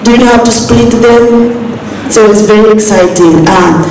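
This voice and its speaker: A woman's voice: medium pitch, normal speed. A woman whose first language is French, giving a motivational talk to young people at a reading event, often asking the audience questions. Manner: lively, asks the audience questions. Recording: echoey room, distant mic, noisy.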